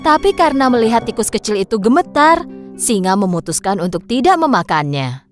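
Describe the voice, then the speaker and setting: A woman reads a children's story as its narrator. Her voice is high-pitched and moves up and down with lots of expression. Music runs underneath the narration. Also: big pitch swings, a little fast. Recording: music behind the voice.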